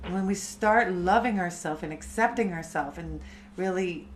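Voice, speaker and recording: A woman in her thirties, a chanting teacher, talking softly and unhurriedly to her live-stream community. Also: fairly low pitch, a little hiss or hum. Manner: slow, soft, unhurried spiritual talk.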